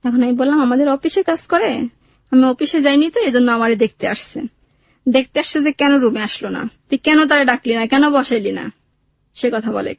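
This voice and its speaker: Bangladeshi woman telling her life story, pretty high voice, everyday wording, really dry sound. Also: normal speed, gravelly, no room sound. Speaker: interview guest telling own life story. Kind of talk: personal storytelling, recounting with quoted dialogue.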